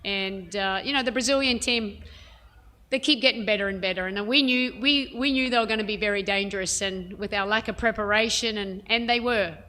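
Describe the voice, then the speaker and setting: A woman head coach answering reporters' questions at a press conference, frank and measured, with plenty of "um" and "you know". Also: medium pitch, sharp tone. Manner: frank, measured, many fillers.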